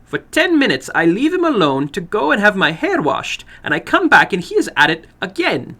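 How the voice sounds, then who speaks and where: A man's voice: high-pitched, huge pitch swings, normal speed, sharp tone, voice pushed up, heavily acted. A man voicing a small, rather old foreign woman in a dramatic story reading, apologetic, weary and emphatic. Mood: apologetic, distressed.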